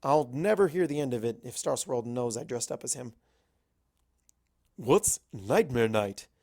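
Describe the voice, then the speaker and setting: A man reading fan fiction aloud as expressive first-person narration. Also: mid-range voice, brisk, gravelly.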